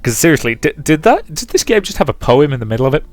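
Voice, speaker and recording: A man's voice: a little high, sing-song, quick pace. A man hosting a let's play, reading a visual novel as dramatic, rhythmic narration with some commentary. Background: music.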